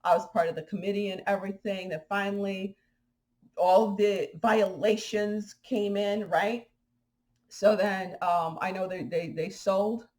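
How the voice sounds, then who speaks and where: A woman from Haiti talks straight to her viewers, animated and indignant. Her voice sits at a middle pitch, and her casual delivery is full of fillers and restarts. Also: measured pace. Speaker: spiritual vlogger. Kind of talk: casual spoken commentary to viewers.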